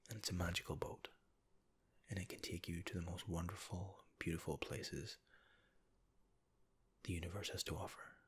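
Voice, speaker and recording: A man whispering a soothing ASMR guided relaxation, talking the listener gently through an imagined scene. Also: deep voice, fairly even intonation, moderate pace, pauses often, breathy edge. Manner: soft, soothing, second-person guiding.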